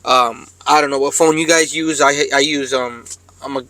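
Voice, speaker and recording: A man's voice: mid-range voice, fairly even intonation, moderate pace, pushed and bright, dry recording. A man talking casually to viewers as a truck driver vlogger, in a laid-back, rambling, slangy way. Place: cab of a parked truck.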